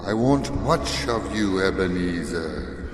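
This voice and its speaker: An actor in a radio play playing the mournful, reproachful ghost of a dead businessman, with drawn-out, wailing intonation. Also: deep voice, expressive. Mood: ominous. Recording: long echoing reverb with music behind.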